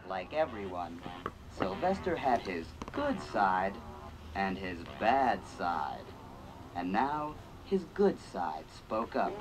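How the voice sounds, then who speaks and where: Slow lilting storybook narrator, man. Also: on the low side, big pitch swings, background noise. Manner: slow and clear for young children. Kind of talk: scripted children's read-along narration. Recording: funky 1980s synth music behind.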